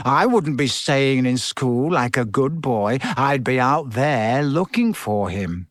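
A man voicing a malicious schoolboy bully in a storybook, quiet, drawling and goading. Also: mid-range voice, animated, takes it slowly, no echo, studio quality. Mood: sly goading.

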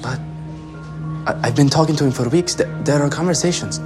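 An actor playing a guarded teenage boy from Syria who speaks in a hesitant, halting way. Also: a little high, music in the background, close to an everyday voice. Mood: anxious. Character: guarded teenage boy student, anxious and wary.

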